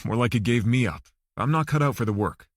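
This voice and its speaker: A man's voice: medium pitch, expressive, brisk, no room sound, studio quality. A man voicing a wry, plain-spoken male ex-lawyer in an audiobook, blunt and direct.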